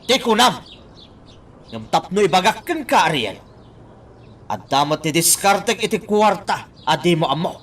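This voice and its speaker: An exasperated, scolding partner in a radio drama. The pitch is very high and animated, and the voice sits a little away from the mic. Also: male voice, unhurried, long pauses, breathy edge, recorded from a distance, exaggerated voice. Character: reproachful, exasperated partner demanding a fair share. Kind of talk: Ilocano radio drama acting.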